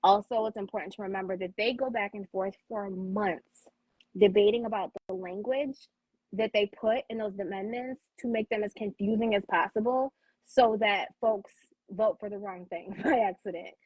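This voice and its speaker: Husky American woman chatting informally. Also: medium pitch, normal speed, no room sound, muffled recording. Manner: emphatic, informal, encouraging. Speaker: talk show co-host. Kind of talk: casual explanatory chat.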